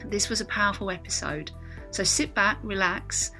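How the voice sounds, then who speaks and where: A woman co-hosting a podcast, reading a scripted introduction in an even, measured way. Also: medium pitch, poor audio. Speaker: podcast co-host. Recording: faint music bed behind the voice.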